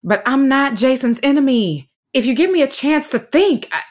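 An actress playing an earnest, firm schoolteacher in an audio drama, measured and direct, pleading but principled. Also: female voice, sits high, expressive. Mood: anxious, placating. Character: earnest, firm, dedicated woman elementary school teacher. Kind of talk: naturalistic audio drama acting.

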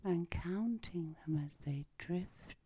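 A woman guiding a sleep hypnosis in a soft, whispery ASMR style, with a soothing, lulling manner. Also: on the low side, slow pace, slight background noise. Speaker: hypnotherapist, channel host.

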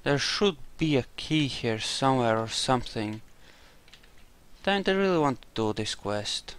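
A man hosts a video game playthrough in a smooth, clear voice of medium pitch. He takes his time, thinking aloud in casual, sweary asides and sometimes speaking as his character. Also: lively intonation, measured pace, fairly bright tone. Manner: informal, muttering to himself, sweary. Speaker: let's play host. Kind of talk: casual gameplay commentary, partly role-played.

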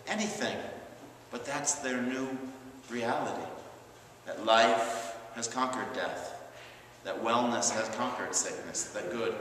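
Male pastor, 30s, sermon delivery in emphatic, deliberate phrases; very reverberant church, faint noise. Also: mid-range voice, sharp tone. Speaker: Lutheran pastor. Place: large church, preaching from a pulpit.

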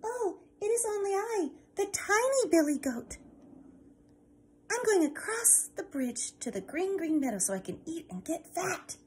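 A woman voicing a tiny, timid young male billy goat in a children's storybook reading, with a high squeaky voice. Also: very animated, measured pace, darkish tone, slight background noise, full character voice. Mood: pleading.

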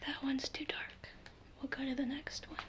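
A young woman whispering breathily in an ASMR roleplay as an attentive makeup consultant, soft and unhurried, recorded up close in a room at home. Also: high-pitched, little pitch movement. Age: young adult. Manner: soft, unhurried, attentive customer-service talk. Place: small furnished living room at home. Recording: noticeable background hiss and room noise.

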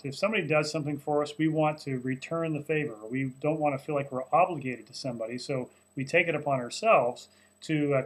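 A man's voice: mid-range voice, husky, low-quality recording. A man in his forties, a pastor, recapping a sermon to camera from a small office in an earnest, conversational way with many fillers. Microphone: webcam at desk, close framing.

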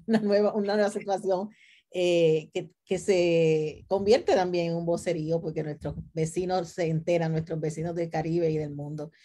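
A woman's voice: fairly low pitch, mellow. A middle-aged woman with a Puerto Rican accent, talking conversationally on a video call and often checking in with tag questions. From Puerto Rico. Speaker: theology professor, panelist. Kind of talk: conversational self-introduction. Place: indoor room with bookshelves, video call. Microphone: computer mic on a video call.

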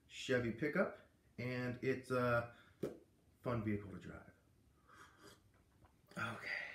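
A man in his thirties reading a storybook aloud casually in a living room, recorded by a camera a few feet away. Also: medium pitch. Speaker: read-aloud host. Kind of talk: casual storybook read-aloud with chatty asides. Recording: roomy, echoey home recording.

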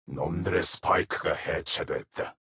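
A man voicing a menacing, brooding shadow wraith assassin in a video game, with a hoarse, whispery rasp and slow, ominous, terse delivery. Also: low voice, fairly even intonation, sharp tone, full character voice. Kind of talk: video game character voice lines.

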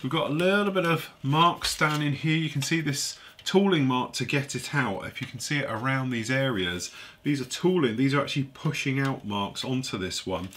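A man's voice: mid-range voice, normal speed, fairly bright tone, a little hiss or hum. A man with a congested, stuffy voice, chatting casually as he reviews a model kit, thinking aloud while he handles the parts. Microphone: overhead camera on a workbench, voice off-screen.

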